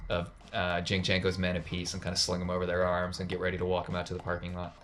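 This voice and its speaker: A man's voice: sits low, monotone, fast, fairly bright tone, faint music behind. A man narrating a tabletop game casually as game master, with noise in the recording.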